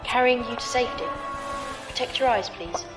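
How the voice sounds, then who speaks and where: A woman's voice: a little high, moderate pace, lightly acted. A woman playing a dutiful young female squire who speaks politely and formally. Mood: composed. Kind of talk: audio drama acting. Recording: echoey room with music score.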